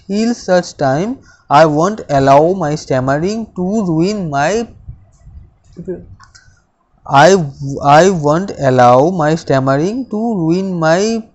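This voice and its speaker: A speaker, reading self-affirmations aloud slowly and deliberately, stammering with repeated words and restarts. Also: medium pitch, expressive, darkish tone, muffled recording. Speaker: speech practice coach.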